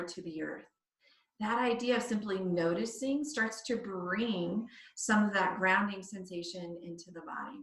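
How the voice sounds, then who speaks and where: A woman yoga teacher leading a guided body scan meditation, speaking in short phrases with pauses between them. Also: mid-range voice, fairly bright tone. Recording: echoey room, distant microphone.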